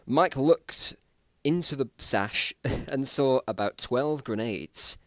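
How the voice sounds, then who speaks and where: A man's voice: mid-range voice, lively intonation, slow pace, a bit bright, no room sound. A man reading a story aloud in a mock-dramatic style, amused and breaking off into mocking asides. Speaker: reader of own old story.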